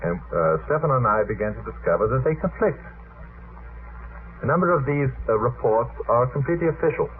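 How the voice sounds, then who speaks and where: Tinny noisy old radio tape, middle aged guy with a lowish voice, rambling formally thru a story with lots of asides. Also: animated, fairly quick. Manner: discursive, formal phrasing with parenthetical asides. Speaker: radio panel guest. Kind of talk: unscripted storytelling on a late-night radio talk show. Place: radio studio. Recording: noisy phone-like vintage radio recording.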